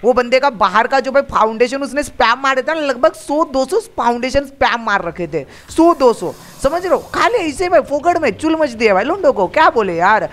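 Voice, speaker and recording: A man's voice: very high-pitched, expressive, normal speed, hardly any pauses, fairly bright tone. A young man hosting a game channel, giving chatty let's-play commentary that he fills with "guys" and "bhai" at every turn. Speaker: gaming channel host.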